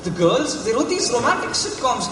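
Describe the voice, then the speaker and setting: A man on stage playing an earnest, flustered writing teaching assistant who explains things haltingly. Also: very high-pitched, very animated. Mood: troubled, defensive. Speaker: stage actor in a college play. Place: stage in an echoey hall, recorded from the audience. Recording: roomy hall from far off, loud hiss.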